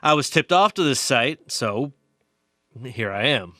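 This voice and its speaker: A man's voice: medium pitch, lively intonation, unhurried, bright, forceful tone, dry recording, clean studio sound. A man playing an insistent, knowing research biologist in an audio drama, explaining things emphatically.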